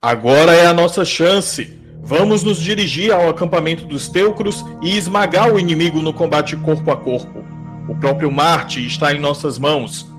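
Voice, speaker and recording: A man's voice: fairly high pitch, moderate pace, close to an everyday voice. A man playing a bold warrior commander rallying his army in a declamatory radio drama style. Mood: rousing, defiant. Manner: declamatory, rousing. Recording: music behind the voice. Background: loud music.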